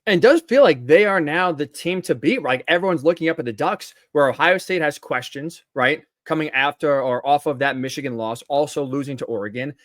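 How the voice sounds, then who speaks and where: A man with a fairly high-pitched voice talks college football as a podcast co-host, tossing "right?" onto his points. The sound is dry, with no room echo. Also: brisk, hardly any pauses, fairly bright tone. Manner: tosses in "right?" tag questions. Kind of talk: casual sports talk.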